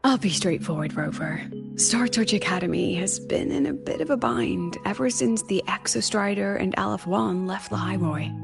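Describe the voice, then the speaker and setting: An actress voices a shrewd woman who runs an academy, speaking in a coaxing, conspiratorial way. Her mid-pitched voice has a gravelly edge of fry. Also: moderate pace, music in the background, natural voice. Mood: earnest. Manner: coaxing, conspiratorial, slightly awkward. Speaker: academy professor. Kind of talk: video game voice acting.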